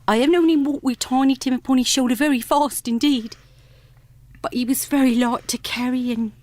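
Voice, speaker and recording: A tender mother in mourning played by an actress in a radio drama. Her voice is fairly high and wistful, holding back sorrow, in a dry studio sound. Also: darkish tone, dry recording, lightly acted. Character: gentle grieving mother bravely hiding her sorrow. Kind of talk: theatrical radio drama acting.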